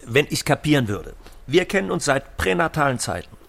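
An actor playing a slick, self-assured middle-aged car dealer in a radio drama, smooth-talking and expressive. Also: male voice, a little high, fairly quick, full character voice. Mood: indignant. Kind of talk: German audio drama acting.

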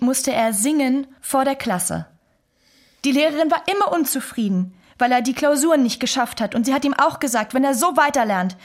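Woman playing a Chinese wife, earnest, ambitious, higher-pitched voice, plain recollection, radio play monologue. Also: close to an everyday voice.

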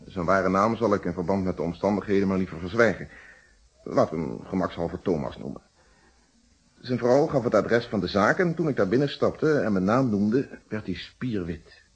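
An actor playing a calm, principled middle-aged police inspector in a Dutch radio detective play, speaking in formal, articulate explanations. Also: male voice, low-pitched, moderate pace, slightly rough, exaggerated voice. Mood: narrating, probing. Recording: dull, muffled old radio recording.

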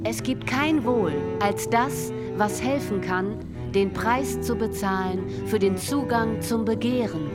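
An actress playing a worldly woman who philosophizes in measured aphorisms in a radio drama. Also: fairly high pitch. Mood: knowing. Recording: voice under loud music. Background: loud musical instruments.

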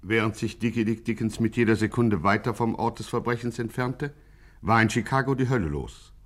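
Deadpan, lower-voiced male narrator. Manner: deadpan, measured. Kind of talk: scripted satirical radio play narration.

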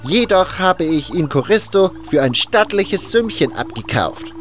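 A haughty, fanatical guru of a fantasy cult, acted by a man in an audio drama. He speaks slowly, hissing with contempt, and his pitch swings dramatically. Music plays behind the voice. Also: very animated. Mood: impatient, condescending.

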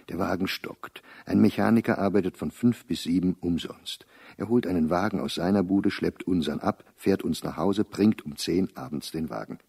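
Radio drama: weary, embittered professor, diarist. Dark, husky low voice, precise and terse delivery. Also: male voice, no echo, studio quality, exaggerated voice. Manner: precise, clipped telegraphic diary phrasing. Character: weary, bitter middle-aged male professor reading his diary. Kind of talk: radio play diary monologue.

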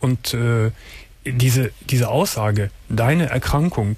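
A man hosting a late-night radio call-in show, talking in a calm, measured way and gently probing his callers. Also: mid-range voice, breathy edge. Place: radio studio.

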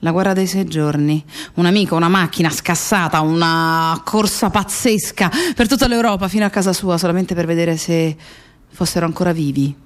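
An actress playing a grieving woman who fondly recalls her close friend in a reflective radio theatre monologue, pausing and repeating herself. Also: on the low side, natural voice. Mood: fond reminiscence. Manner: reflective, with pauses and repetitions.

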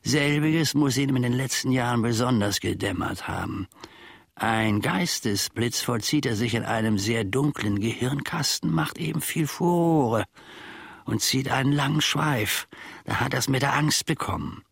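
Husky, pompous old manservant. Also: male voice, mid-range voice, a little slow, no echo, clean studio sound. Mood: brooding. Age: older. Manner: pompous, musing, theatrical. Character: grumbling, self-important old manservant, retired soldier, musing about his master. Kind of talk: German radio play monologue.

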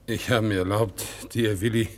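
An actor playing a proud, dutiful one-legged war veteran who works as an organ grinder, speaking in a solemn, deferential way in a radio play. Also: male voice, fairly low pitch, fairly even intonation, normal speed, darkish tone, a little hiss or hum, close to an everyday voice. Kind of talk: 1960s radio play acting. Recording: faint music behind, older radio play recording.

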